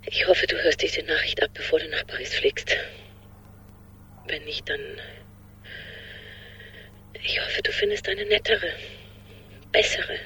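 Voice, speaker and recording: An actress in a radio drama playing an anguished woman leaving a halting, pleading, whispery breakup message on a voicemail. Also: low voice, wildly swooping pitch, pushed and bright, heavily acted, poor audio. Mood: tearful pleading. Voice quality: breathy, whispery. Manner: halting, pleading, repeating herself.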